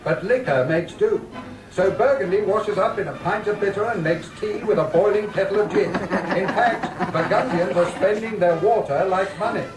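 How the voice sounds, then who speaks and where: An actor playing a wry, declamatory newsreel announcer in a 1940s commentary style. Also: male voice, high voice, moderate pace, natural voice. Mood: grandly wry. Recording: roomy, distant newsreel sound over music.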